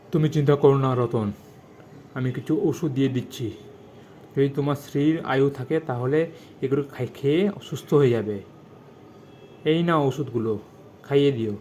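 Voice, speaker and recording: A calm village medicine man from a cartoon, voiced by a man. His medium-pitched voice is sober and reassuring, with faint noise behind it. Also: natural voice. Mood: calm, grave. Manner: reassuring, measured.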